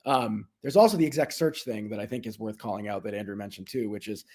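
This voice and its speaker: A man's voice: fairly low pitch, fast talker, darkish tone. A man speaking as a business executive guest, explaining things in an informal, conversational way. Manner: informal explainer, checks in with "right?". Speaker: software company executive, interview guest.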